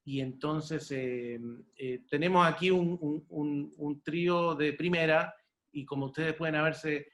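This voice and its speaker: A man with a Chilean accent hosting an unscripted interview in a wordy, halting way, repeating words as he searches. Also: fairly high pitch, steady tone, fairly bright tone. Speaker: host and interviewer.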